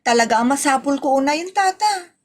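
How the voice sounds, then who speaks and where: A woman's voice: high voice, expressive, brisk, a little mellow, a little hiss or hum. A woman with an Ilocano accent playing a polite, pleading young neighbour in a comedy audio drama. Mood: pleading, grateful. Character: polite, grateful young female neighbour asking a loan.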